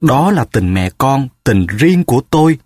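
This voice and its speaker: A man's voice: mid-range voice, measured pace, husky, dry recording, clean studio sound. A man with a Southern Vietnamese accent voicing a grieving middle-aged mother who bitterly reproaches her husband in raised, pleading outbursts. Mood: bitter accusation.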